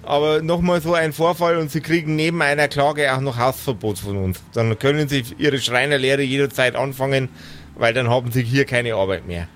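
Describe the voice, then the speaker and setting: A man's voice: medium pitch, moderate pace, fairly bright tone, slight background noise, natural voice. Man playing a stern, officious, condescending policeman. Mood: stern, reprimanding. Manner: formal, condescending. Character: stern, officious policeman scolding young troublemakers.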